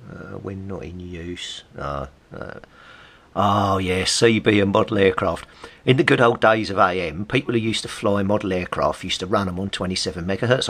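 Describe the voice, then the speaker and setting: A middle-aged Englishman with a lowish, creaky and husky voice. He gives a casual, chatty commentary, reading passages aloud and adding wry asides in an English accent. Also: fairly bright tone. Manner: chatty, wryly amused asides. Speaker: vlogger, CB radio hobbyist. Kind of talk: casual commentary while leafing through old magazines, reading bits aloud.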